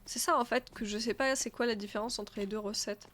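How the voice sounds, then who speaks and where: A woman's voice: a little high, fairly even intonation, fairly quick, a bit bright, slight background noise. A woman with a stuffy nose chatting casually as she streams a game, thinking aloud and answering her chat. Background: faint game music.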